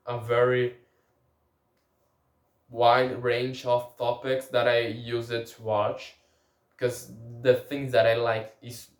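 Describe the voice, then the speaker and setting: A man whose first language is Portuguese talks slowly and haltingly, restarting his sentences as he hunts for words. His medium voice rings in a roomy, echoey space. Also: medium pitch. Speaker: language learner. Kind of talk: casual spoken language diary.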